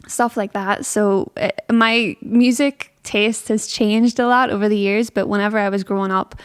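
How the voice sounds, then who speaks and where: A woman's voice: mid-range voice, steady tone, normal speed, clean tone. A young woman with a Northern Irish accent, chatting casually as a musician being interviewed. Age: young adult. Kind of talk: casual chat.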